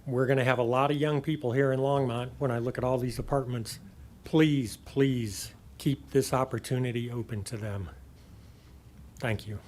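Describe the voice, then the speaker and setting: A man speaks briefly at a city council meeting in an ordinary, mid-range voice. He is sincere and earnest, pleading gently on behalf of young people. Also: medium pitch, steady tone, moderate pace. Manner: earnest, heartfelt plea. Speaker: public commenter. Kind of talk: brief public comment.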